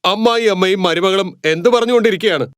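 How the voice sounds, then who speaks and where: A man's voice: high-pitched, fast talker, fairly bright tone, clear, resonant tone, no echo, studio quality. A man voicing a plain-spoken middle-aged village farmer and father-in-law in a cartoon moral story. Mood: genial.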